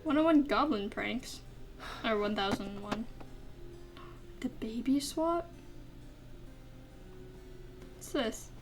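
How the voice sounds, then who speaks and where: A woman's voice: on the high side, lively intonation, takes it slowly, pauses often, over music. A woman giving casual let's play commentary, reacting aloud and talking to herself as she goes. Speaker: gaming video host.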